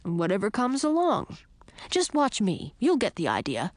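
A woman voicing a self-assured, teasing male frog from a storybook, impatient and boastful in a brisk manner. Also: medium pitch, wildly swooping pitch, darkish tone, voice pushed up. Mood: boastful, breezy. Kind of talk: audiobook character acting.

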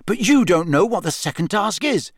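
A man's voice: high voice, big pitch swings, moderate pace, no room sound, studio quality, voice pushed up, full character voice. A man voicing an anxious, bewildered boy wizard in an audiobook, breathless and incredulous. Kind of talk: audiobook character acting.

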